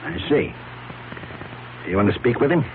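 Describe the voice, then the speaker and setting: An actor playing a formal, reluctant defense attorney in a radio drama, measured and pessimistic. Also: male voice, mid-range voice, animated, close to an everyday voice. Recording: muffled, noisy old radio broadcast.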